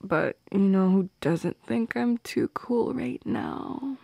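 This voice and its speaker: A young woman playing a shy, teasing teenage goth girl in an intimate ASMR roleplay, speaking softly and hesitantly, often slipping into a whisper. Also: mid-range voice, sing-song, no echo, studio quality, exaggerated voice. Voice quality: soft and breathy, slipping into whispers. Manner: hesitant, teasing, halting with small laughs. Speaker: audio roleplay performer. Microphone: close microphone in a dry space.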